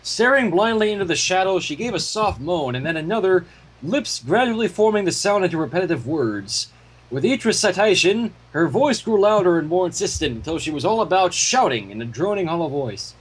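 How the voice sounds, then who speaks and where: Animated, higher-pitched man reading a story. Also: brisk, breathy edge, rough recording. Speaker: fan fiction reader and commentator.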